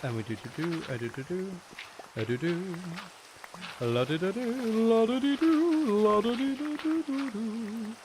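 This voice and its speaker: A man's voice: high-pitched, moderate pace. A man giving casual live game commentary, thinking aloud in a playful, self-deprecating, rambling way. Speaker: game streamer. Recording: steady rain noise behind the voice. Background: rain sounds.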